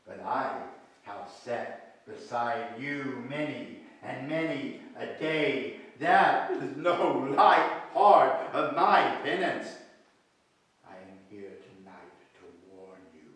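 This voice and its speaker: A man's voice: mid-range voice, a bit bright. An older man on stage playing the solemn, tormented ghost of a dead businessman, declaiming his remorse theatrically. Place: small indoor theatre room with audience. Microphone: far camera. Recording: echoey small hall, distant microphone.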